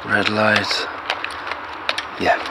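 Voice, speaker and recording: An actor playing a desperate young zealot in an audio drama, speaking a solemn, halting, earnest last testament. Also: male voice, lowish voice, completely flat delivery. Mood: solemn, faltering. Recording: noisy outdoor city traffic ambience.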